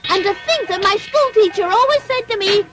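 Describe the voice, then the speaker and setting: A woman playing a naive, polite, excitable little puppet boy in a dubbed classic cartoon, earnest and exclamatory. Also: very high voice, fairly quick, loud music behind the voice. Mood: admiring. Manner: earnest, exclamatory, formal phrasing.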